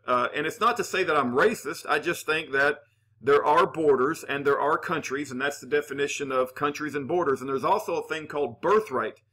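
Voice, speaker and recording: A man hosting his channel, giving opinionated commentary with Bible references in an earnest, halting way full of "uh" fillers and restarts. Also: fairly high pitch, sharp tone. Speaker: channel host reading and answering viewer comments.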